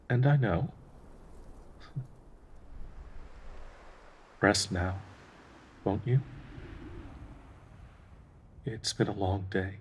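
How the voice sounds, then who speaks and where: An actor playing a devoted, grieving male dryad in an intimate audio roleplay, speaking tenderly and haltingly with a husky, breathy voice. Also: lowish voice, slight background noise. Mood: tender, weary. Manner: slow, halting, tender pleading with sighs.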